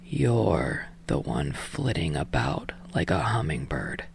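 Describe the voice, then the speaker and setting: A woman voicing a tired, gruff man who speaks in a deep, slow, whispery way. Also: big pitch swings, dry recording, heavily acted. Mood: weary. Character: tired, gruff young man, drowsy and unbothered.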